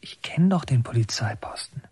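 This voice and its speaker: A man's voice: medium pitch, animated, moderate pace, mellow, breathy edge, dry recording, in a whisper, clean studio sound. A man acting as a watchful son who narrates his childhood in a literary radio drama. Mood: observant, restrained. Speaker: first-person narrator.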